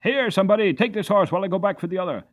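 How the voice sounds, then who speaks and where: A man's voice: high voice, racing, lo-fi sound, pitched above the performer's own voice, close to an everyday voice. A man voicing a brave young groom, calling out hurriedly and urgently.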